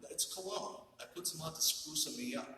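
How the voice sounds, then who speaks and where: A man's voice: on the high side, normal speed, pushed and bright. A man playing a sheepish, defensive grown son in a comic radio play. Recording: echoey hall, distant from the mic.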